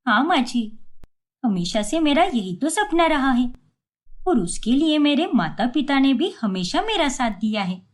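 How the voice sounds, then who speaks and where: Woman as a high-voiced, earnest young bride. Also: sing-song, a little slow. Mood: hopeful, polite. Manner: pleading, polite but protesting. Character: earnest young daughter-in-law with big dreams.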